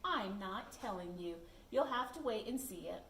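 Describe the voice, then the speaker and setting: A woman voicing an eager, put-upon schoolboy prankster in a storybook, expressive and exclaiming. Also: medium pitch, moderate pace. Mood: sly, coaxing. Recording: echoey room, distant mic, background noise.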